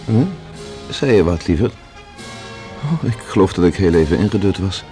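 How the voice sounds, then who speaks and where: A man's voice: deep voice, lively intonation, normal speed, warm tone, soft background music. A man playing a reserved, tired, courteous man in a radio drama. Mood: drowsy.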